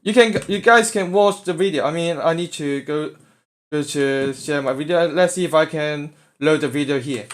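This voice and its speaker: A man from Hong Kong with a Hong Kong English accent, hosting a live voice forum in a halting, self-correcting way while he sets up his stream. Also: on the high side. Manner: halting, restarting, fussing with his stream setup.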